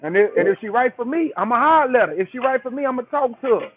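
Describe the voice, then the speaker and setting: High-voiced man on a phone line. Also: expressive, quick pace. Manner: preachy, emphatic, repeats "man" and "bro". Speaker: call-in guest. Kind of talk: casual call-in chat.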